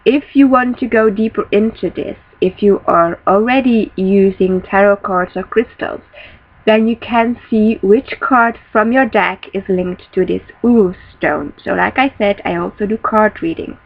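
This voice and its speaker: A young woman, a native Dutch speaker, teaching a casual spiritual lesson from a small room, often pausing to find words. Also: medium pitch, creaky. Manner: thoughtful, searching for words, restarts often. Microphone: built-in laptop webcam mic, close. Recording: dull lo-fi webcam audio.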